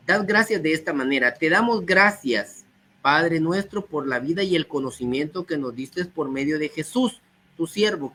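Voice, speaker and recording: A man, a guest speaker, who is slightly hoarse from a cold and reads quotations aloud before explaining them. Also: on the high side, a bit bright. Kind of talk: teaching talk on early church texts.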